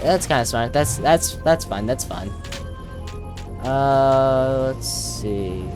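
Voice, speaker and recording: A man commentating casually on his own video game playthrough, thinking aloud as he plays. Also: fairly high pitch.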